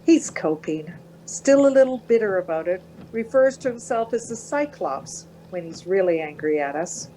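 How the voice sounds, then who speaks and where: A woman's voice: mid-range voice, lively intonation, moderate pace, mellow, slight background noise, close to an everyday voice, low-quality recording. An actress playing a wry, anxious middle-aged mother of teenagers in a radio play. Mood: wry, weary.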